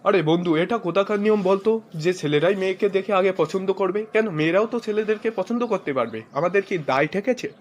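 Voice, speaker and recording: High, teasing cartoon buddy, played by a man. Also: fairly quick, hardly any pauses, lightly acted. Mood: joking. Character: wisecracking male cartoon friend and dad. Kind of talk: cartoon joke skit dialogue. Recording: dull, noisy lo-fi cartoon audio.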